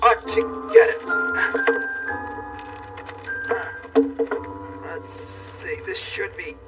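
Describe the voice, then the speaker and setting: A man playing a kindly, easygoing handyman in a children's television show, talking in a gentle, folksy, reassuring way. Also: sits high, wildly swooping pitch, slow, distant mic, loud music behind the voice, heavily acted. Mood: helpful, kindly.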